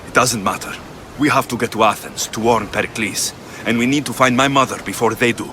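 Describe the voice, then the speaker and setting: An actor playing a blunt, proud young Greek mercenary in a video game, terse and direct. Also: male voice, medium pitch, fairly quick, noisy background, lightly acted. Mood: urgent. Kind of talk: dramatic video game acting.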